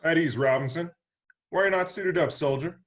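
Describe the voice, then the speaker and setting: Man playing a brisk, fast-talking stern Army colonel. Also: on the high side, lightly acted. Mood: stern, pressing. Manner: brisk, authoritative. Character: stern male Army colonel, commanding and disapproving. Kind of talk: screenplay table read.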